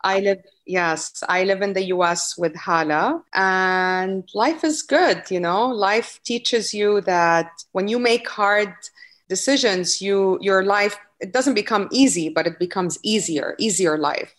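A woman's voice: medium pitch, a little slow, a bit bright. A woman in her late thirties who grew up in Saudi Arabia, speaking English with an Arabic accent, earnest and reflective as a yoga teacher being interviewed. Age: late 30s. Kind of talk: podcast interview.

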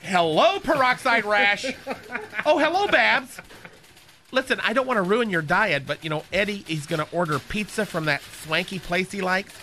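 Brief: high, bright, catty receptionist guy, a man putting him on, camp lilt, radio sketch. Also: animated, moderate pace, a little hiss or hum, exaggerated voice. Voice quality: lilting, swooping camp delivery. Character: flamboyant, catty, gossipy male receptionist. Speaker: show's receptionist character.

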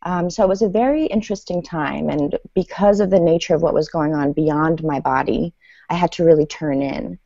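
Female author guest, thirties, native Farsi, very low mellow voice, recounting her life story. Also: moderate pace, gravelly, no echo. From Iran, then the United States. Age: 30s. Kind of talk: personal storytelling in an interview. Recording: dull lo-fi audio.

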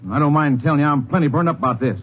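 A man voices an indignant, folksy businessman in a 1950s radio drama, talking quickly and plainly burned up. The sound is muffled and lo-fi, like an old broadcast with a faint hiss underneath. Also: a little high, close to an everyday voice. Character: irate traveling businessman, middle-aged.

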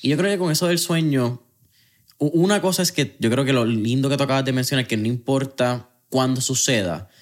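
A man's voice: medium pitch, brisk. Conversational man hosting a podcast. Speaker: podcast host. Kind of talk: casual interview chat.

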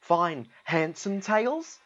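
Man voicing a slow, wistful, innocent bunyip. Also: sits high, very animated, fairly bright tone, lo-fi sound, voice pushed up, exaggerated voice. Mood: earnest, questioning. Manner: slow, earnest questioning. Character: innocent, wistful male bunyip, a furry storybook creature.

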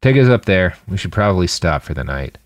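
A man's voice: low-pitched, very animated, a little fast, no echo. A man voicing a casual, battle-hardened fighter in a dystopian audiobook, with blunt, laconic, slangy speech. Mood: matter-of-fact.

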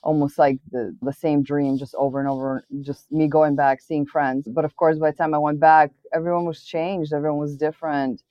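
A woman's voice: low-pitched, level tone, moderate pace, hardly any pauses, warm tone, no echo, muffled recording. A woman from Bulgaria whose first language is Bulgarian, speaking as a translator in an interview in a reflective, thoughtful way with frequent restarts. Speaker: interview guest, literary translator. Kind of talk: conversational interview.

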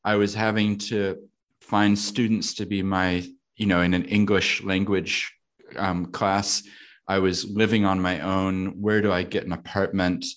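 A man's voice: low-pitched, level tone, unhurried. A man with an American accent, raised in New Zealand, talking casually and thoughtfully about his life, laughing now and then. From United States. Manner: thoughtful, laughs often, asks himself questions aloud. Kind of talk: reflective casual conversation.

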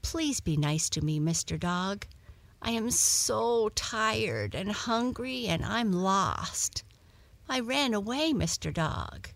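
A woman's voice: mid-range voice, expressive, slow pace, vocal fry, fairly bright tone, no echo, voice pushed up, heavily acted. Woman voicing a timid, lilting little lost cat. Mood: pleading, weary. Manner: polite, hesitant, pleading. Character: lost, timid, tired young female runaway cat. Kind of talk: children's storybook character voice.

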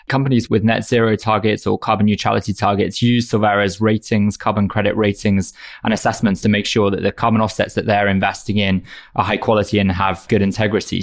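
A man speaking as an interview guest, explaining his work in a conversational way. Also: lowish voice. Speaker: startup co-founder, interview guest.

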